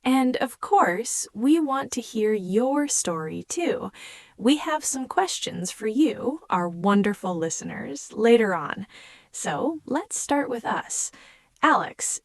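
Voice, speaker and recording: Slow, clear woman co-host. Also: on the high side, very animated, dry recording, studio quality. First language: German. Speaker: podcast co-host. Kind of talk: scripted learner podcast chat.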